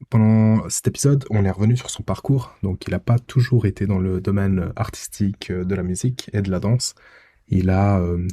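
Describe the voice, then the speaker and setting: A man's voice: sits low, dark tone. A man hosting a podcast in a casual, conversational way. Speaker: podcast host. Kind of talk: casual podcast intro and interview.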